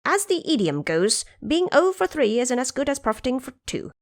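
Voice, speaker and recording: A woman's voice: high-pitched, sing-song, moderate pace, dry recording, clean studio sound, voice pushed up. A woman voicing a brash, cocky male monkey immortal who talks boldly and makes demands, in an audio drama. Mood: bossy. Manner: bold, demanding.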